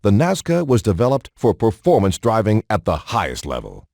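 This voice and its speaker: A man reading scripted documentary-style narration in a measured, polished announcer manner. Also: fairly low pitch, wildly swooping pitch, vocal fry, a little mellow, no room sound, clean studio sound.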